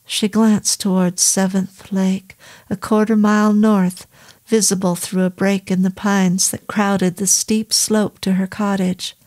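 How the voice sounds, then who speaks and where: A woman reads a horror novel aloud at a slow, deliberate pace. Her voice sits at an ordinary middle pitch, and she keeps the telling steady and even. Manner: slow, measured, even reading. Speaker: audiobook narrator. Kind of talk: scripted horror novel narration.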